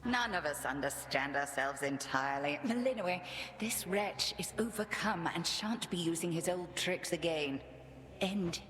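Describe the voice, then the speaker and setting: A vengeful, imperious witch headmistress speaks in formal, haughty phrases. Her lowish voice carries long reverb with noticeable noise behind it, in the dramatic style of a game cutscene. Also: expressive, a little slow, a bit bright. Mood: stern. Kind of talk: dramatic video game voice acting. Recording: long hall reverb, clear background noise.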